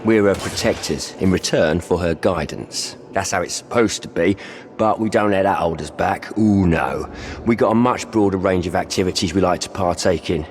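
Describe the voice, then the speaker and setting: A man's voice: sits low, normal speed, close to an everyday voice. An actor playing a laid-back male hunter who rides a drake, talking in dry clipped sentences. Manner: dry, clipped, drops words. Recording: noisy game background.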